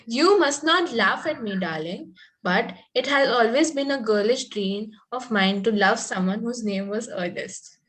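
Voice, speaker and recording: A woman's voice: fairly high pitch, expressive, measured pace, fairly bright tone. A woman reading the part of a fanciful, coy Victorian young lady in an amateur table read, prim and earnest, with occasional stumbles. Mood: dreamy.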